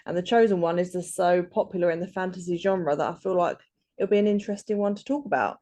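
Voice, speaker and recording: Hesitant woman, low voice. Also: quick pace, dark tone. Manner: hesitant, many ums and restarts. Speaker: guest author. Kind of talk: casual interview.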